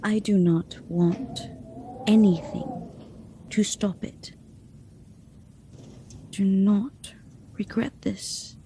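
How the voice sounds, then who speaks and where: A woman's voice: medium pitch, steady tone, drawn-out words, long pauses, warm tone, a little hiss or hum. A woman playing a passionate, defiant and seductive vampire in a radio drama, with an intense theatrical delivery. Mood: fervent, dark.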